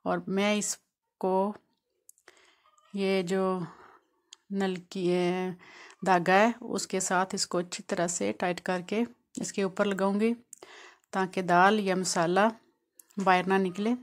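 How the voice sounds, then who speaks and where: A woman from Pakistan narrates her home cooking video step by step. Her delivery is calm and explanatory, with a mid-height voice that stays flat and even, barely rising or falling. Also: mid-range voice, slow, long pauses. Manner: calm, explanatory, walks through each step. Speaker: home cooking channel host.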